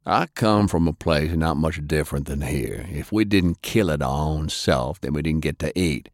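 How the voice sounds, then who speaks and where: A man voicing a big, gruff, plain-spoken war veteran from the country, terse and folksy, in an audiobook. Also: very deep voice, normal speed, no echo, clean studio sound. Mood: gruff, matter-of-fact.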